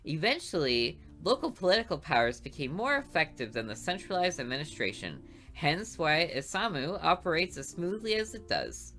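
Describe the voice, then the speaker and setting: A woman voicing a prim, model schoolgirl who is class valedictorian, reciting in a polished, even way. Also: low-pitched, moderate pace, faint music behind, full character voice. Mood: poised.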